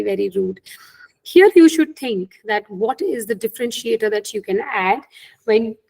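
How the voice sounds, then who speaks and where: A woman's voice: on the high side, low-quality recording. A middle-aged woman with an Indian accent, a native Hindi speaker, coaching people on job interviews in an earnest webinar talk, often checking in with "right?". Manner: earnest, frequent "right?" tag questions.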